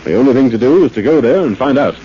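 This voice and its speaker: A man playing a composed, thoughtful gentleman sleuth in a 1940s radio drama. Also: mid-range voice, animated, quick pace, natural voice. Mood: deliberate. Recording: muffled, hissy old radio recording.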